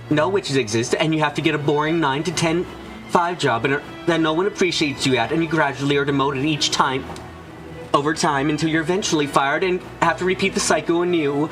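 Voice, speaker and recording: A man's voice: fairly high pitch, brisk, close to an everyday voice. Man voicing a taunting villainess over music. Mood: scornful menace. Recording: music behind the voice.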